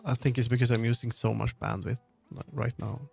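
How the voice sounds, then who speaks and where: Man rambling on a livestream, slightly creaky. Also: mid-range voice, flat delivery, brisk, a little mellow, soft background music. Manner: rambling, muttering to himself, jumping between thoughts. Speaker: streamer. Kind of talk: casual livestream chat.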